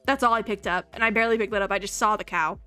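A young woman giving casual, excitable reaction commentary as a video host, often repeating herself. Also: mid-range voice, very fast.

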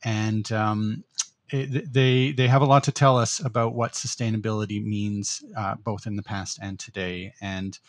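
Middle-aged male podcast co-host, reflective and halting delivery, low-pitched voice, dry close sound. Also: steady tone, no echo. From Netherlands. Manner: thoughtful, hesitant with many fillers and restarts. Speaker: podcast co-host, political science professor. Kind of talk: casual conversational podcast.

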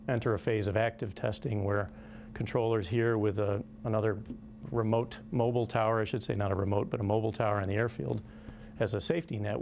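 A man in his forties with an American accent, giving halting, measured explanations in an interview. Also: lowish voice, gravelly, a little mellow, slight background noise. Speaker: company representative being interviewed. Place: small indoor conference room. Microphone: lapel.

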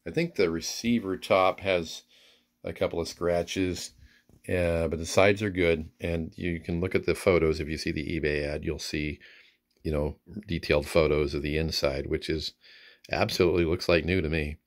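A man's voice: sits low, steady tone, normal speed. A man giving a casual, unscripted demonstration of gear in an easygoing, somewhat hesitant way. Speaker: presenter demonstrating vintage radio gear. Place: indoors, radio workbench.

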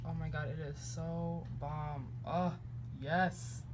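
A woman's voice: deep voice, fairly even intonation, slow pace, background noise. A young woman chatting through a casual travel vlog into a phone held at arm's length, bubbly and full of slang. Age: young adult. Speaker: vlogger. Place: car, hotel room and busy outdoor plaza.

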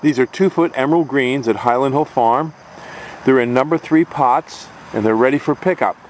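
A man's voice: mid-range voice, moderate pace, a little hiss or hum, poor audio. Man, tree farm owner, folksy, plainspoken. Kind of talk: casual promo talk.

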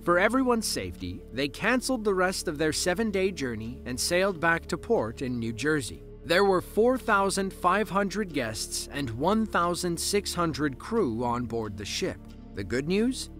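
Lively male documentary narrator. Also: on the high side, unhurried. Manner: lively storytelling with dry asides. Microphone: voice-over, studio mic. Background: soft background music.

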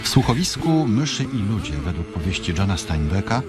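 A man's voice: lowish voice, big pitch swings, a little fast. A man announcing in a formal, scripted radio style. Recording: loud music behind.

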